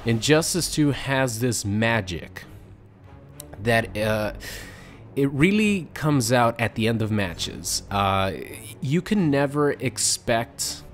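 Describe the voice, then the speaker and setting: A young man chatting casually to his viewers about a fighting game, rambling with fillers, his voice slightly raspy from a sore throat. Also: mid-range voice, lively intonation, takes it slowly, faint music behind. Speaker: gaming YouTuber. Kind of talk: casual chat to camera.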